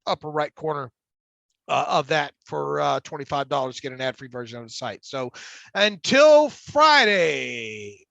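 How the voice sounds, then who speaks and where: A man co-hosting a casual sports podcast, with a stutter and a gravelly smoker's voice, often restarting and repeating words. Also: fairly high pitch, expressive, measured pace, fairly bright tone, dry recording. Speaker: podcast co-host. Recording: dull lo-fi dry sound.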